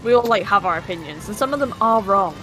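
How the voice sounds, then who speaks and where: A woman's voice: medium pitch, lively intonation, fast talker, music in the background, low-quality recording. A woman co-commentating on a speedrun stream with casual, dryly teasing banter. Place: live online stream commentary, game audio behind the voice.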